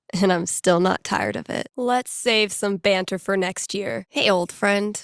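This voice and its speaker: Young American female DJ, video game character, sardonic, playful, self-deprecating, casual delivery, vocal fry, leisurely pace. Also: medium pitch, slow, dry recording, studio quality. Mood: wry, upbeat. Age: young adult. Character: wry, playful young woman, small-town radio DJ and tabletop gamer. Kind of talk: naturalistic video game acting, casual muttered asides.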